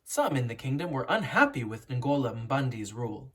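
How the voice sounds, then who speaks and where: An educator reading a children's picture book aloud in a storytelling, instructive way with deliberate emphasis and a slightly husky voice. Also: medium pitch, big pitch swings, fairly bright tone.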